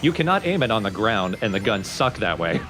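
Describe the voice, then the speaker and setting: A man's voice: fairly low pitch, very animated, brisk. A man giving casual, explanatory let's play commentary on a video game. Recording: game music behind commentary.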